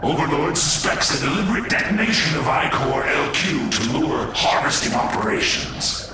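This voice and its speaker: A man's voice: fairly high pitch, expressive, moderate pace, very noisy, close to an everyday voice. A man playing a ruthless, haughty alien commander in a game, handing down formal military orders. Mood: commanding. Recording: very echoey, distant, loud music underneath.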